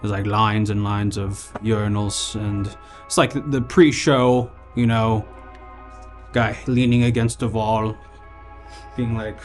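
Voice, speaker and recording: A man voicing a timid male ghost of a performer from Finland, with a Finnish accent and a hesitant, halting way of talking, in an improv comedy bit. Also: fairly low pitch, fairly even intonation, soft background music. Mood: sheepish.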